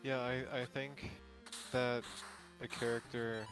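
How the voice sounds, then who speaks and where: A man's voice: mid-range voice, little pitch movement, takes it slowly, over music, low-quality recording. A man hosting a podcast, chatting about video games with dry, understated humor. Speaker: podcast host.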